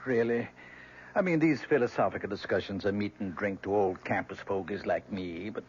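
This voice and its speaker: An actor playing an aging, guarded professor in an old-time radio drama, speaking in a formal, hesitant, measured way. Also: male voice, on the low side, lightly acted. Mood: weary. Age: older. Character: aging male professor, evasive, weary, guarded old academic. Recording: dull, noisy old radio broadcast.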